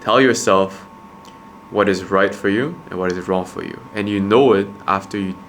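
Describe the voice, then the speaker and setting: A young man from Quebec, raised speaking Khmer first, talks earnestly to camera with a slightly low voice. His phrasing is halting, full of restarts and repeated words as he works through his thoughts. Faint ambient noise sits behind him. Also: fairly even intonation, fairly bright tone. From Quebec, Canada. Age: young adult. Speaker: vlogger, entrepreneur. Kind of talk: reflective casual monologue to camera.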